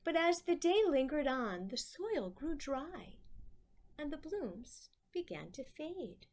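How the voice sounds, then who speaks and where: Slow, high-voiced woman reading a storybook. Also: big pitch swings, darkish tone. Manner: slow, deliberate phrasing with dramatic emphasis. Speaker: storybook reader for children. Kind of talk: expressive children's story read-aloud.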